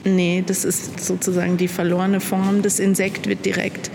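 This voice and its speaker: A woman art graduate calmly explaining her own artwork in an exhibition room. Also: mid-range voice, moderate pace, creaky. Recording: long reverb with audible background noise.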